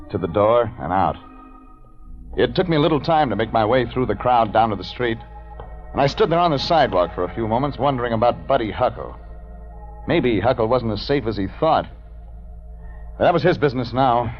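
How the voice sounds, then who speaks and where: Man playing hard-boiled American gumshoe, dry and clipped, medium pitch, 1940s radio drama, lo-fi sound. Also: lightly acted. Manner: deadpan, wry, clipped. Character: cool, terse hard-boiled male private investigator. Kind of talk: 1940s radio detective acting and first-person narration. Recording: dull vintage radio recording, music behind.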